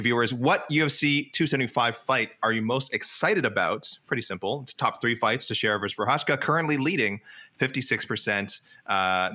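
A man's voice: on the low side, bright, forceful tone. A man talking casually as a sports panelist, rambling a bit and correcting himself as he goes. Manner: hesitant, rambling, self-correcting delivery. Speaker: panelist on an MMA preview show. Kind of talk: casual sports discussion, fight prediction.

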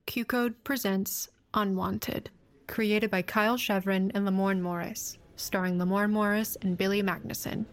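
A woman reads a scripted podcast introduction as an announcer. Her delivery is formal and measured, in a medium-pitched voice. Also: fairly even intonation.

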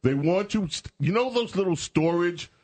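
A man's voice: high-pitched, animated, moderate pace, darkish tone, no room sound. A man hosting a talk radio show in a studio, chatting with guests in a sarcastic, mocking way. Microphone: studio microphone.

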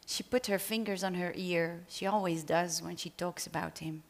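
A woman's voice: fairly low pitch, steady tone, moderate pace, gravelly. Dutch-accented woman reading her story. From Netherlands. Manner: hesitant, apologetic about her English. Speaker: author reading at a literary event. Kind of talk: panel talk and reading her own story.

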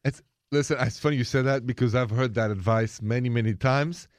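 A man's voice: mid-range voice, brisk, dry recording. Brief: male radio co-host, dry studio mic, easygoing and agreeable. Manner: agreeable, chimes in with short affirmations. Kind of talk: casual radio talk show chat. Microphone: studio microphone.

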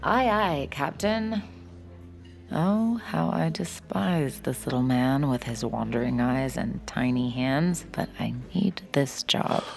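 A woman's voice: a bass voice, animated, slow, vocal fry, a little mellow, full character voice. An actress playing a tired, sardonic bartender who dryly narrates her own story in an audio drama. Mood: sarcastic. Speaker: narrator and lead character. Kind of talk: audio fiction drama with first-person narration.

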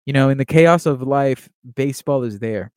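Mellow, fast-talking young man from Miami. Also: medium pitch, clean tone, dry recording, studio quality. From Miami, Florida, USA. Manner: quick, earnest, with frequent fillers. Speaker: podcast host and baseball writer. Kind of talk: casual monologue.

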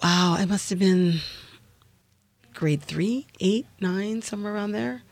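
Middle-aged woman singer, chatty interview guest, mid pitch, dry close sound. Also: unhurried, a little mellow, dry recording. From Canada. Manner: chatty, laughing, many fillers. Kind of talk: interview storytelling.

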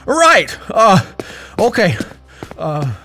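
Jittery underling for audio drama: high voice, slow halting delivery, eager to obey, a bit dim. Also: male voice, huge pitch swings, pushed and bright, soft background music, heavily acted. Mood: unsure, deferential. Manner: hesitant, stammering, deferential. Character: nervous, dim, eager male henchman.

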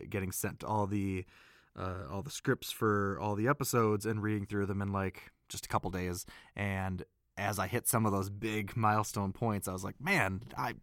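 A man with a fairly low voice chats about recording in a loose, halting way, stopping often to laugh. The sound is dry and close, with no room echo at all. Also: fairly bright tone. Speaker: voice actor. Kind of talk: casual chat.

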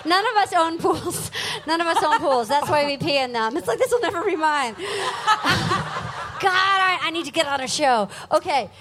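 A woman hosting a live podcast on a festival stage, loud and excitable, laughing often. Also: high-pitched, normal speed. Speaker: podcast host. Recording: live stage with clear crowd noise.

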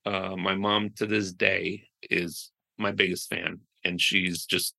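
A man chatting as a podcast guest, telling stories with animated restarts and trailing phrases. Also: low-pitched, slow pace, vocal fry. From United States. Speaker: guest musician. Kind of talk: casual interview chat.

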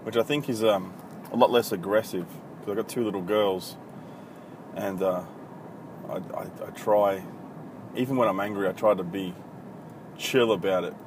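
A low-voiced Australian man in his thirties rambles through a solo podcast, swearing freely and hesitating with plenty of ums. It is recorded on a phone, with loud traffic and street noise behind him. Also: moderate pace, pauses often. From country Victoria, Australia. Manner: blunt, profane, rambling with many ums. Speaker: podcast host. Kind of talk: casual solo podcast monologue. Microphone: iPhone.

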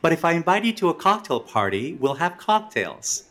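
A man's voice: a little high, big pitch swings, moderate pace, a bit bright. An actor playing a blunt, bickering male playwright who talks with sardonic emphasis.

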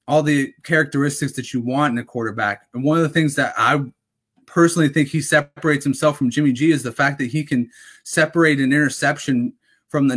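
A young man hosting a casual fantasy football talk, rambling with lots of fillers, his voice going a little raspy. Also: on the high side, fairly bright tone. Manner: rambling, full of fillers and restarts. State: slightly raspy voice. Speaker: fantasy football podcast host.

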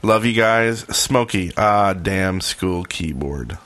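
A man's voice: sits low, animated, slow pace, fairly bright tone. A man co-hosting a podcast in a casual, conversational style. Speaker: podcast host. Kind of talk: casual group chat.

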